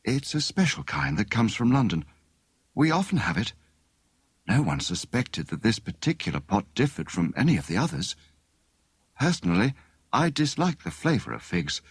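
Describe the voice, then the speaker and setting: A man's voice: lowish voice, big pitch swings, normal speed, breathy edge, no room sound, full character voice. A man voicing an anxious, polite, earnest young Englishwoman in an audiobook story. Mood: earnest, troubled. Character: anxious, well-mannered young Englishwoman, tall and steady.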